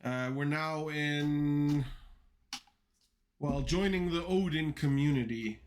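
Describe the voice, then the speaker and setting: A man's voice: on the high side, slow, a little hiss or hum. A young man who grew up speaking Dutch and Turkish, chatting casually on a livestream while he codes, rambling with lots of fillers and restarts. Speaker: coding livestreamer.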